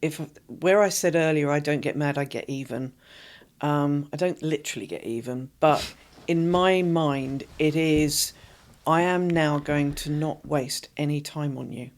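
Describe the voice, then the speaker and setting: A woman's voice: deep voice, a little slow. Emphatic woman guest, forthright business coach. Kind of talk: casual interview conversation.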